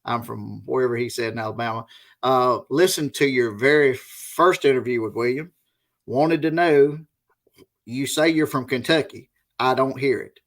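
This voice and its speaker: Gravelly Southern US man, folksy. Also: mid-range voice, moderate pace. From US Deep South. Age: middle-aged. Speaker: host, interviewer. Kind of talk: casual interview chat.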